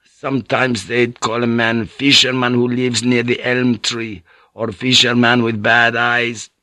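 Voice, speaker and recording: Man putting on an old friar, agitated, breathless, bright edgy voice, dry. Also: mid-range voice, little pitch movement, a little slow, no echo. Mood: explaining. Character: ranting feverish old Spanish friar, bitter.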